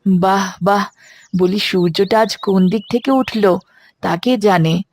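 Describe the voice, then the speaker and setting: A woman's voice: mid-range voice, slow, darkish tone, dry recording, voice pushed down. Woman as an exclaiming, wry village mother. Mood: teasing surprise. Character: village mother, surprised and teasing. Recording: electronic music behind the voice.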